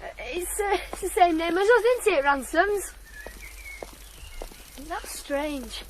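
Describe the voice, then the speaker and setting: A woman's voice: extremely high pitch, expressive, slow, a little hiss or hum, full character voice, poor audio. A woman playing an eager, shy teenage farm boy in a radio drama, hesitant and earnest. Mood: hopeful. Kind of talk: 1980s British radio drama acting.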